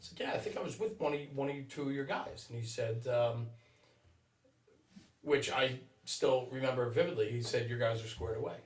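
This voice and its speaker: A man's voice: medium pitch, fairly quick. A man hosting a casual podcast chat, affirming and repeating short phrases, recorded roomy and a little off the mic. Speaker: podcast host. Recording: roomy, distant mic, faint music.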